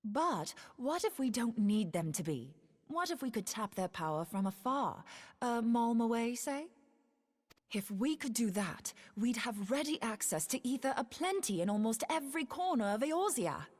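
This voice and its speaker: An actress playing a brisk, cheeky woman inventor in a fantasy game, talking in a confident, colloquial way. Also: on the high side, very animated. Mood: confident, keen. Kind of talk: scripted fantasy game dialogue.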